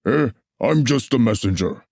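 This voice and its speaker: A man's voice: medium pitch, animated, normal speed, vocal fry, a little mellow, no echo, clean studio sound. A man playing a stolid, dismissive official in a puppet show, with a matter-of-fact, bureaucratic delivery. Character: stolid, dismissive male official collecting banned books. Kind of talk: theatrical puppet show dialogue.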